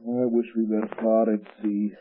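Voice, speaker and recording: A man's voice: fairly low pitch, level tone, moderate pace, close to an everyday voice. A man playing a nervous, fearful henchman in an old radio drama, anxious and tremulous. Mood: uneasy. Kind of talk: 1930s radio drama acting. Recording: tinny, noisy 1930s radio broadcast.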